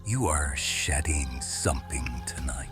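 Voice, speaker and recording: A man playing a quiet, watchful serpent shifter guardian in an intimate ASMR roleplay, hushed and deliberate, often slipping into whispers. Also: a bass voice, expressive, over music. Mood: soothing, intimate.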